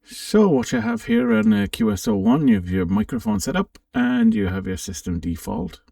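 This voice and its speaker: A man hosting a casual, informal software walkthrough for an amateur radio channel, thinking aloud a little hesitantly as he goes. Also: high voice, wildly swooping pitch, normal speed, vocal fry, studio quality. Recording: roomy, distant microphone.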